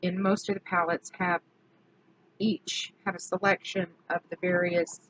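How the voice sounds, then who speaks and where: A woman, slightly hoarse from allergies, chatting casually through a makeup product review and correcting herself as she goes. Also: fairly low pitch, flat delivery, fairly bright tone, slight background noise, low-quality recording. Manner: chatty, self-correcting, slow and deliberate. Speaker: beauty vlogger.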